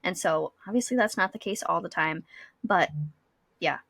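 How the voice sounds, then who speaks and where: A woman podcast host in her thirties talks casually and reflectively. Her voice is bright and forward, recorded very dry. She explains things patiently, restating ideas as she thinks them through. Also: lowish voice, moderate pace, vocal fry, husky, no echo. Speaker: school psychologist.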